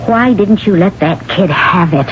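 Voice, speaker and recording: An actress playing a brisk, firm engineer's wife with a dry edge in a 1970s radio drama. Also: female voice, on the low side, close to an everyday voice. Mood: pressing. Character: engineer's wife, brisk, sharp-tongued adult woman. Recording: muffled old radio broadcast, faint hiss.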